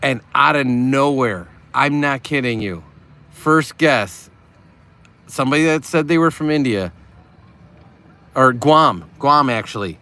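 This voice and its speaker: A man's voice: medium pitch, lively intonation, measured pace, long pauses, pushed and bright. A middle-aged man hosting a casual livestream from a car, reading out viewer comments in a chatty, upbeat way and laughing easily. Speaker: livestream host.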